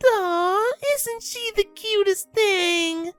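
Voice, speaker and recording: An excitable young pegasus mare gushes in a high, swooping voice. She is bubbly and gleeful, a lively storybook pony. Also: normal speed, faint music behind. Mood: cooing. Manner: gushing, gleeful.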